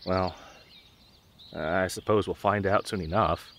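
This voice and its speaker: A young man playing a dorky, nervous, protective gamer best friend in an audio roleplay, with halting, awkward delivery. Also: sits low, fairly even intonation, background noise. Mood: worried, musing. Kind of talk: audio roleplay drama, conversational.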